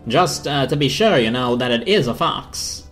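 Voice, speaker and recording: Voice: medium pitch, lively intonation, moderate pace, fairly bright tone, soft background music, close to an everyday voice. A narrator voicing an eager, chattering, jokey radio in a storybook. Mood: excited.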